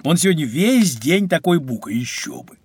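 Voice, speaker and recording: A cheerful, kindly uncle in a children's audio play. He talks expressively and coaxingly, with a husky edge and very lively, swinging intonation. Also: on the high side, normal speed. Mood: jovial.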